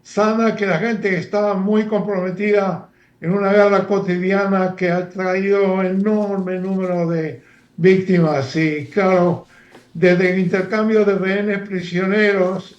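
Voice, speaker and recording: An older man from Argentina, a former professor speaking as a guest analyst in a halting, lecture-like way with many fillers and restarts. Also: high voice, roomy sound, lo-fi sound. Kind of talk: lecture-like interview talk.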